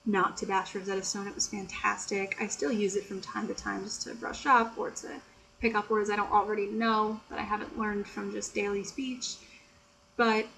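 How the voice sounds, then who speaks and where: An American woman chatting softly and explaining things in a casual, teacherly way, with frequent "you know". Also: mid-range voice, level tone, normal speed, creaky, slight background noise, rough recording. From United States. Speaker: ASMR creator and English tutor. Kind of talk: soft-spoken ASMR chat.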